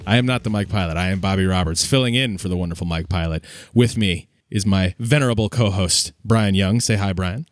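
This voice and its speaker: A man's voice: low voice, a little fast, no room sound, soft background music. A man hosting a fan podcast enthusiastically, with playfully grandiose and emphatic phrasing. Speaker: podcast host.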